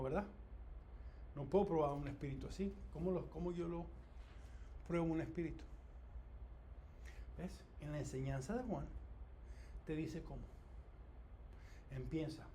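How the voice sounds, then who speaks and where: A man leads an informal church Bible class, his voice pitched high and lively as he quizzes the group and circles back over his points. Faint room noise sits behind him. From Latin America. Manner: animated, asks the class questions, repeats himself. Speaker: Bible study teacher.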